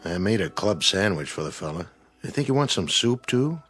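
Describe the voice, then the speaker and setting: Deep-voiced, kindly diner cook. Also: male voice, sing-song, brisk, fairly bright tone, breathy edge, faint music behind, full character voice. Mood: caring. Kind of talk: radio drama acting.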